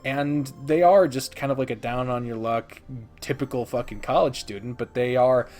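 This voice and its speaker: A man's voice: medium pitch, normal speed, darkish tone. A man hosting a casual countdown video, talking informally and emphatically with plenty of swearing. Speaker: video host, visual novel reviewer. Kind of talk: casual scripted top-ten countdown commentary.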